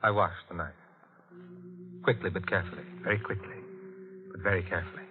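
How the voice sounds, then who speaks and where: An actor playing a nervous, guilt-haunted husband in a tense, brooding old-time radio suspense drama. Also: male voice, low voice, phone-quality sound, noisy background, lightly acted. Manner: tense, brooding, deliberate confessional narration. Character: nervous, guilt-haunted husband and bird keeper narrating his crime.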